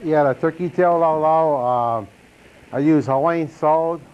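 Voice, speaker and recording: A man who is a student guest, explaining slowly and haltingly. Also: on the high side. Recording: dull lo-fi recording with hiss.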